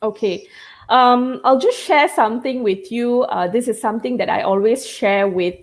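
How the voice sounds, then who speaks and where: A woman's voice: a little high, moderate pace. A woman whose first language is Tamil, speaking as a communication trainer in a live online chat, explaining tips and often checking in with "okay?" and "all right?". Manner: instructive, peppers speech with "all right?" and "okay?".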